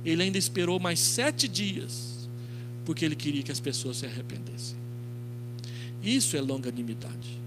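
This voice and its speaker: A man's voice: on the high side, lively intonation, normal speed. A middle-aged man with a Brazilian accent preaching an expository sermon into a handheld microphone, telling stories with quoted dialogue and rhetorical questions. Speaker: pastor. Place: church stage, indoors. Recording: clearly noisy with mains hum.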